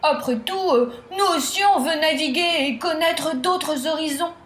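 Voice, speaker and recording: A woman's voice: high-pitched, a little slow, fairly bright tone, reverberant, voice pushed up. A woman voicing a plump fishwife in a storybook tale, sighing and hesitant as she yearns for adventure. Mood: wistful.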